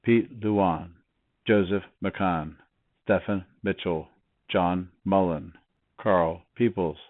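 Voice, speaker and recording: A man's voice: deep voice, slow pace, no echo. A man formally reading names for a memorial roll call, on a narrow recording. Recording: narrow, phone-like sound.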